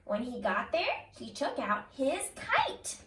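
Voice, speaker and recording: A woman reading a picture book aloud like a kindergarten teacher, expressively, pausing to put questions to young listeners. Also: high-pitched, huge pitch swings, a bit bright. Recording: roomy echo, distant from the mic.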